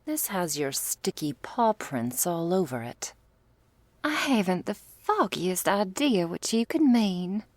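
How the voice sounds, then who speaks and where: A woman's voice: fairly low pitch, very animated, slow pace, no echo, exaggerated voice. A woman playing a teasing, doting female vampire lover in an intimate ASMR roleplay, with whispery asides and quaint, affectionate wording. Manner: breathy asides, pet names, quaint turns of phrase.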